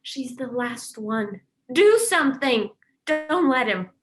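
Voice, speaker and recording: A woman's voice: high-pitched, expressive, slow, music in the background. A woman reading a blunt, impulsive young female companion in a play table read, pleading and scolding.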